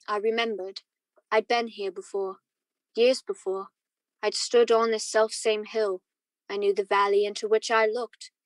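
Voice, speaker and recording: A woman playing a wistful married man who recalls his youth in measured, reflective radio drama narration. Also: medium pitch, dry recording, natural voice, low-quality recording. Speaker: student actor.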